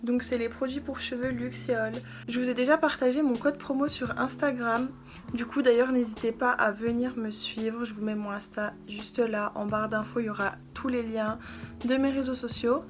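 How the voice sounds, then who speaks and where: A young woman talks through her beauty haul in a chatty, gushing way, her voice sitting a little high. Clear background music plays under her casual vlog chat. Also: fairly even intonation, normal speed. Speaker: beauty vlogger. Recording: music playing behind.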